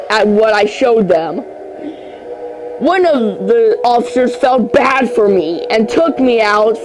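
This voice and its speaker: Amateur creepy-story reader, slow and halting, mid-range voice, frequent stumbles, prominent music underneath. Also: medium pitch, poor audio. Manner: halting, stumbles over words and repeats phrases. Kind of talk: amateur horror story reading.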